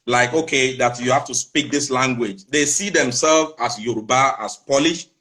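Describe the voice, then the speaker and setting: Calm Nigerian father explaining. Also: medium pitch, normal speed. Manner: calm, explaining, repeats phrases. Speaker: father and channel runner. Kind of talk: casual interview answers.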